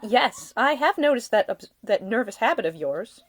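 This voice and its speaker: A woman's voice: fairly high pitch, sing-song, normal speed, no room sound, voice pushed up. A woman voicing an outspoken, slightly wounded young Victorian lady in a storybook reading. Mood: teasing. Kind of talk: acted audiobook dialogue.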